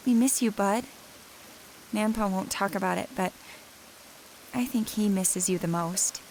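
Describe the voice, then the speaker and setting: A woman acts a young woman in mourning for an audio drama. She speaks slowly, with plenty of vocal fry, in a tender, casual, halting way. Light background noise surrounds her. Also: medium pitch, darkish tone, close to an everyday voice. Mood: tearful, tender. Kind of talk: naturalistic audio drama acting.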